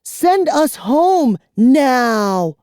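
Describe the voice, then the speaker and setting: A furious young girl played by an audiobook reader, high and shrill, insisting and protesting. her voice is pitched well up and dry, close to the mic. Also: very animated, extremely slow, darkish tone, clean tone, no room sound, exaggerated voice. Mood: ordering. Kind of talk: audiobook character reading.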